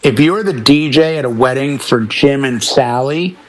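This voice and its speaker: A man's voice: medium pitch, moderate pace. A middle-aged man chatting casually on a panel as a DJ, speaking emphatically and repeating words to drive points home. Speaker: talk show panelist, professional DJ.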